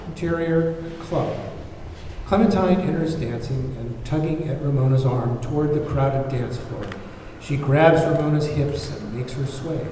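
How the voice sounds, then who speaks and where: Mellow male narrator in a very echoey hall. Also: fairly high pitch, a little slow. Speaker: narrator reading stage directions. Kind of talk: scripted screenplay narration at table read. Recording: very echoey hall, far mic, faint noise.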